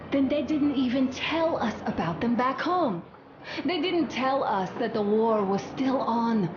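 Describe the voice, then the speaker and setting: A woman's voice: fairly high pitch, highs cut off. An actress playing an earnest young woman who confides her doubts intimately and emphatically in a post-apocalyptic video game. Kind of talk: video game dramatic monologue. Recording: roomy, clear background ambience noise.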